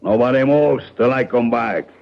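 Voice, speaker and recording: An actor playing a gruff male gunman guarding hostages in a 1970s radio drama, giving curt, threatening orders. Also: mid-range voice, moderate pace, natural voice. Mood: menacing. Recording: dull old radio broadcast.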